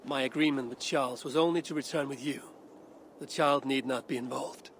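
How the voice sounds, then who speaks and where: A man's voice: medium pitch, steady tone, a little fast, a little hiss or hum, natural voice. A man playing a blunt, watchful Viking warrior who speaks in direct, terse questions in video game dialogue. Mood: suspicious, direct. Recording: music behind the voice.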